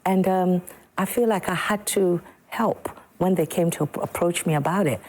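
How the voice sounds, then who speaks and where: A woman's voice: on the low side, moderate pace, a little mellow. A woman from Sekondi-Takoradi in Ghana, a musician guest on a morning TV studio show, who talks emphatically and repeats words for stress. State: a bit slow this morning.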